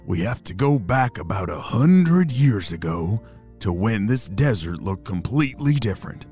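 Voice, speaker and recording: A man voicing a 137-year-old grandpa tortoise, wise and protective, who speaks slowly and gently. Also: lowish voice, very animated, soft background music, pitched below the performer's own voice. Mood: gentle.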